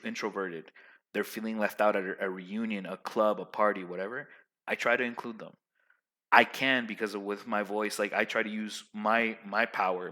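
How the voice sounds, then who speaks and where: A young man giving casual social advice to his viewers in a loud, emphatic, rambling way, full of restarts. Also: fairly low pitch.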